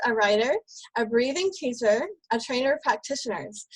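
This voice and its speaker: Female interview host, slightly high voice, dry close recording, welcoming introductions. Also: no room sound, rough recording. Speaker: summit host. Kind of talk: interview hosting.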